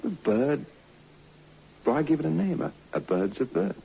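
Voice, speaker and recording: An actor playing a rough, blunt, passionate planter wooing another man's wife in an old radio drama. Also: fairly high pitch, sing-song, unhurried, exaggerated voice. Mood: ardent, reassuring. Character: rough, hot-blooded male planter wooing his partner's wife. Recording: dull, noisy old radio recording.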